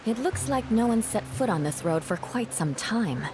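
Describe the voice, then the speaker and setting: An actress playing a composed, cautious and serious young woman in a video game cutscene, speaking in measured, formal phrases. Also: on the high side, lively intonation, noisy background, natural voice. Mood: wary.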